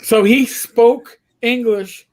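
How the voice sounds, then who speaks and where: A man's voice: very high-pitched, steady tone, slow. A middle-aged man chatting casually as a livestream host, telling stories in a rambling way.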